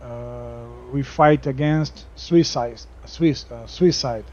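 A Brazilian man with a Brazilian Portuguese accent, chatting casually over gameplay, halting, with many fillers and restarts. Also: medium pitch, highs cut off, background noise. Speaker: gaming YouTuber. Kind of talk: casual game commentary.

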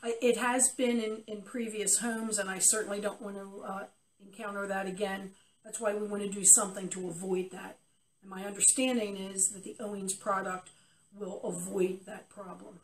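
A woman's voice: medium pitch, flat delivery, normal speed, distant mic, low-quality recording. Older woman giving a testimonial. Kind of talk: unscripted testimonial interview. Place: cluttered unfinished basement.

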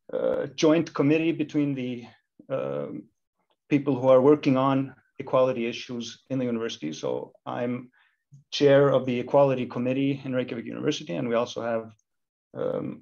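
Gravelly man on a dull video call. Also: medium pitch, a little mellow. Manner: thoughtful, many restarts and fillers. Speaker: university lecturer and equality committee chair. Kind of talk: video-call panel discussion.

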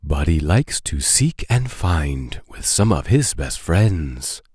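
A man reading children's rhyming poems aloud in a playful, deliberate, sing-song storybook style. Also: rumbling low, very animated, darkish tone, breathy edge, no echo, studio quality. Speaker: children's book read-aloud narrator.

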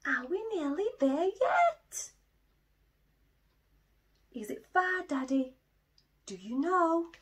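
A woman voicing an excited little boy bear cub who keeps asking if they are there yet, in an eager, pleading way. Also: high-pitched, slow pace, pauses often, pitched above the performer's own voice.

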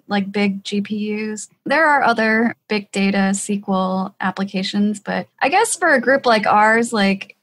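A woman interviewer talking casually, with loose, hesitant phrasing. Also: medium pitch. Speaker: podcast interviewer, economist.

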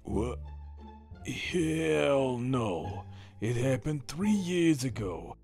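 A man's voice: mid-range voice, sing-song, extremely slow, creaky, darkish tone, husky, dry recording, heavily acted. A man playing a grumpy, sardonic skeleton royal guard captain in a comic dub. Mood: dry, grumbling. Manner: dry, sardonic. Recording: music behind the voice.

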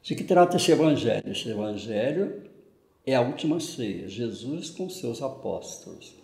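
A man's voice: medium pitch, sing-song, unhurried, creaky, darkish tone. An older man with a Brazilian Portuguese accent, a parish priest giving a conversational homily, often adding "né" as he talks. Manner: conversational, frequent "né" tag questions, gestures with hands. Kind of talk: homily on the gospel. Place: indoors at home, small room. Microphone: fixed camera at medium distance. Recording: echoey room.

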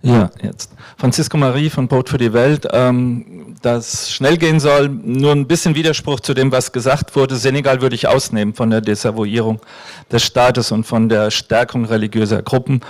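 A man in the audience raising a quick objection at a panel, correcting himself as he goes. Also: mid-range voice, steady tone, no echo. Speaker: audience member. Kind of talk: question from the floor.

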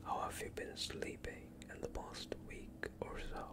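Young man, breathy whisperer for ASMR medical roleplay; soothing, very unhurried delivery. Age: young adult. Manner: calm, soothing, unhurried questioning. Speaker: ASMR performer playing a doctor.

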